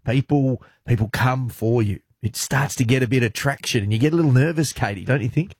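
An Australian man filling in on breakfast radio, teasing the hosts in a dry, deadpan way. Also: medium pitch, brisk, husky, no room sound. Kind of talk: casual radio banter. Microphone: studio mic.

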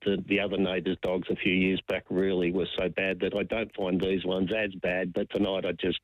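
A man's voice: low voice, monotone, brisk, no echo. A man phoning in to a talkback show, rambling with lots of restarts and repeated words. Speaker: radio caller. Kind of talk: casual phone-in chat. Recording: dull lo-fi phone line.

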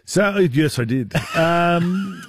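A man's voice: animated, very slow, slightly rough. A man, a radio co-host telling a prank story and laughing through it. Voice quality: deep voice. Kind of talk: storytelling banter.

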